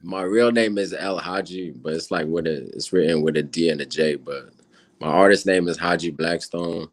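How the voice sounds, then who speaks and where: Senegalese man in his 30s, Wolof native, deep and dry; easygoing guest, rambling. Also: normal speed, dry recording. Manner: relaxed, rambling with many fillers. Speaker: guest musician. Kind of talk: casual interview chat.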